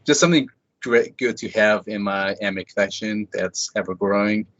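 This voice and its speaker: A man's voice: lowish voice, moderate pace, a bit bright, dry recording. A man hosting a podcast, chatting casually and eagerly with a guest. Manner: chatty, enthusiastic fan. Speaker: podcast host. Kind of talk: casual interview chat. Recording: dull lo-fi sound, dry.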